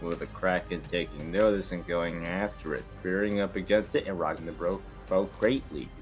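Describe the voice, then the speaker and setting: A man's voice: deep voice, normal speed, soft background music. A man reading stage directions as narrator in an informal amateur script reading.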